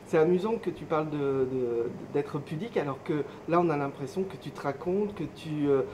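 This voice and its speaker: A woman's voice: low-pitched, moderate pace, noisy background. A woman interviewer asking questions casually and hesitantly, building each one up gradually.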